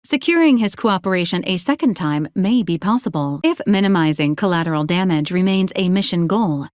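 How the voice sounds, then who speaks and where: A woman's voice: on the low side, lively intonation, a little fast, no echo, studio quality, close to an everyday voice. A woman voicing a polite female robot companion in a video game, speaking in a formal, clipped, matter-of-fact and clinically detached way. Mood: cool, advisory. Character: polite, deadpan female robot companion unit assisting a commander. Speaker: robotic assistant. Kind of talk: scripted video game character lines.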